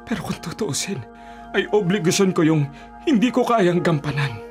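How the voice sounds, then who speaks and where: An actor playing a poor, kindly, middle-aged father who collects scrap, in an excitable Filipino radio drama style. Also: sits high, moderate pace, over music. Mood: tender, apologetic. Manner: apologetic with his child, wide-eyed and incredulous at wonders.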